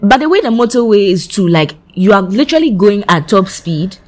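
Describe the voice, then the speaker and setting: A young woman with a Ghanaian accent telling a story in casual chat, full of "like" fillers. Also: a little fast. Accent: Ghanaian English. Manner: chatty storyteller, many "like" fillers, lively swings in pitch.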